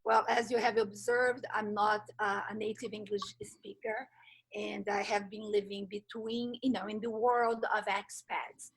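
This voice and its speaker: Husky-voiced Brazilian-born woman, middle-aged. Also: mid-range voice, steady tone, unhurried, low-quality recording. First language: Portuguese. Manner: thoughtful, hesitant with many uh and um. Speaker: guest, life transitions coach. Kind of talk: podcast interview.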